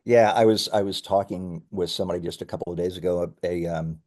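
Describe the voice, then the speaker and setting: Lower-voiced man explaining in an interview. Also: fairly even intonation, fairly quick, a little mellow. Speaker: company founder, interview guest. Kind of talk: conversational interview answers, explaining his business.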